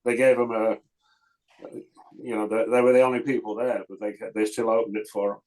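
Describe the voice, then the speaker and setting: A man on a club call relating a short story, his pitch staying flat and level. He speaks plainly, with a few fillers. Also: brisk, rough recording. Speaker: club member. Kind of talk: casual anecdote on a call.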